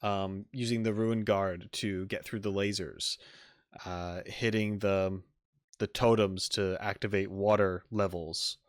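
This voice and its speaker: A man's voice: lowish voice, fairly even intonation, slow, dry recording, clean studio sound. A man doing casual gaming commentary as a streamer, reacting in short asides as he plays. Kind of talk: casual game playthrough commentary.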